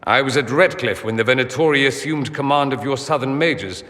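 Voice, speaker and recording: An actor playing a witty aristocratic mage in a fantasy game, theatrical, sardonic and very sure of himself. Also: male voice, mid-range voice, a little fast, fairly bright tone, natural voice. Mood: suave, self-assured. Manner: theatrical, sardonic, self-assured. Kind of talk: video game dramatic acting. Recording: long hall reverb.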